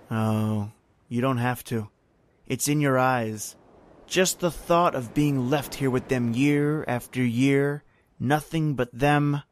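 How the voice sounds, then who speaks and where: An actor playing a hateful old servant in a radio horror drama, speaking with deliberate menace. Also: male voice, mid-range voice, slight background noise, close to an everyday voice. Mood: menacing, taunting. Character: bitter old male servant consumed by lifelong hatred.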